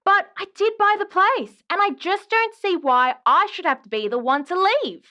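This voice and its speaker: A woman's voice: very high voice, normal speed, pushed and bright, highs cut off. An actress playing an aggrieved, chatty woman caller in an audio drama phone-in, dramatic and exasperated. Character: exasperated, dramatic woman caller griping about her ghost ex.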